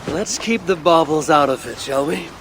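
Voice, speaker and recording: An actor playing a proud, dryly witty Norse god warrior in a video game, speaking in a measured, commanding way. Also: male voice, a little high, noisy background, natural voice. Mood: menacing. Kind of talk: video game cutscene acting.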